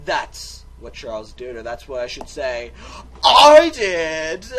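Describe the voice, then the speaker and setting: A man with a high, bright voice gives a theatrical, wisecracking read-aloud of a video game. His pitch swings widely in exaggerated delivery, over faint background noise. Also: wildly swooping pitch, drawn-out words. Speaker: game commentator and reader.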